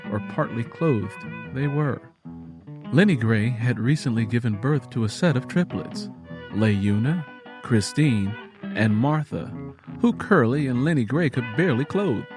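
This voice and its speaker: A man narrates a scripted story as an audiobook-style storyteller. His pitch sits in a middle male range, and music plays clearly behind his voice.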